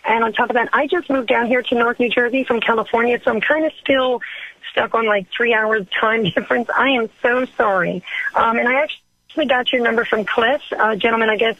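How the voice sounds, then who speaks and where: Prank-call role, female performer: indignant, flirty, pushy woman with a higher voice, rambling complaint, lo-fi telephone sound. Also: fairly even intonation, brisk, no echo. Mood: apologetic, rambling. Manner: rambling, interrupting, pushy, calls listener sweetheart. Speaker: prank caller. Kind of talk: improvised prank phone call. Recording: dull lo-fi phone line.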